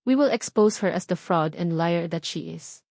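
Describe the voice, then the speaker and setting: Woman performing as icy elderly Filipino matriarch in an audio drama: wealthy, sharp, determined and calculating, with a dry close sound. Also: fairly low pitch, moderate pace, no echo. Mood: cold, calculating. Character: cold, scheming elderly rich matriarch.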